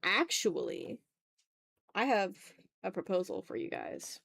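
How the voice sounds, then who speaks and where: A woman's voice: mid-range voice, huge pitch swings, slow pace, vocal fry, a bit bright, a little hiss or hum. A woman from the American South chatting casually on an art livestream, self-deprecating and playfully exasperated. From Southern United States. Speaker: streamer. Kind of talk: casual livestream chat while drawing.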